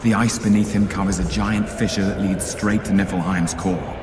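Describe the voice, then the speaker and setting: Man as a very deep-voiced scheming trickster god. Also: steady tone, noisy background, close to an everyday voice. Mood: calculating. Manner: measured, insinuating. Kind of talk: dramatic video game acting. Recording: music under the voice.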